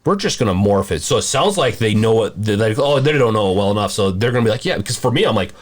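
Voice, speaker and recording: A man hosting a casual movie discussion podcast, talking in a halting, rambling way and often rephrasing mid-sentence. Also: lowish voice, animated. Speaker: podcast host.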